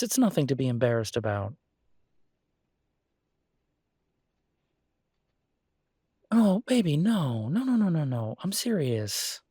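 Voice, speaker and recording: A man's voice: on the high side, dry recording, clean studio sound. A man playing a devoted, reassuring human boyfriend in an intimate roleplay audio, speaking casually and hesitantly. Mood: flustered, reassuring. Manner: hesitant, casual, soothing, with soft chuckles. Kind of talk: roleplay audio acting, intimate one-sided conversation.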